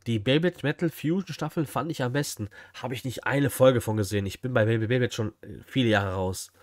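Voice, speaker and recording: A man's voice: mid-range voice, fairly quick. A man, chatting casually and musing aloud as he reacts on a livestream. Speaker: streamer reacting to a video. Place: indoor streaming room.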